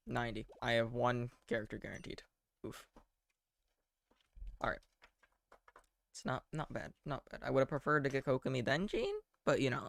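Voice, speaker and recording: Man, game streamer, creaky voice, deadpan, self-deprecating, casual commentary, muttered asides to himself. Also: mid-range voice. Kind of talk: casual live gameplay commentary.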